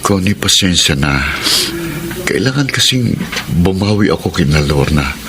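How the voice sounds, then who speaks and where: A man's voice: deep voice, sing-song, moderate pace, noisy background. An actor playing an apologetic married man with a secret second family in a Filipino radio drama. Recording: music bed under the voice.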